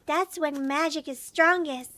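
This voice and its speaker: A woman plays a young boy who dreams of being a mage in a fantasy audio drama. The voice is high and childlike, with slow, earnest lines full of stubborn insistence. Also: bright, forceful tone, no room sound. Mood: defensive.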